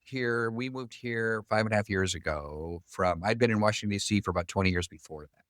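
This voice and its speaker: A man in his fifties, a guest on a podcast, speaking in a measured, slightly halting way in casual interview talk. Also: fairly low pitch, fairly bright tone, no echo, studio quality.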